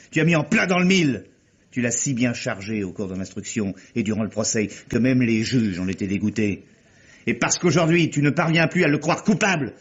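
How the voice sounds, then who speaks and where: An actor playing a cynical, calculating murderer with a cutting, sardonic manner in a radio mystery drama. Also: male voice, fairly high pitch, fairly bright tone, breathy edge. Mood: mocking fury. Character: cynical, calculating murderer nephew taunting his guilty accomplice. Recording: muffled old radio drama recording.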